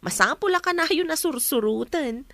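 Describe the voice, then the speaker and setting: A woman's voice: high-pitched, expressive, moderate pace, fairly bright tone, dry recording. A woman playing a concerned friend who gives advice in an Ilocano radio drama.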